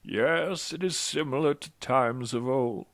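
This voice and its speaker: A man playing a courteous, solemn old priest in an audio drama, speaking in a formal, measured way. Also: medium pitch, dry recording, natural voice. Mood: gentle, formal.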